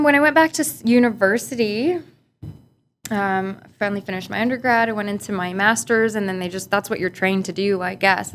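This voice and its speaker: A woman from Barbados, a guest policy analyst, talking in a relaxed, reflective way with many fillers and easy laughter in a boardroom interview. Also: mid-range voice, a little hiss or hum. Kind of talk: casual interview conversation. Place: office boardroom.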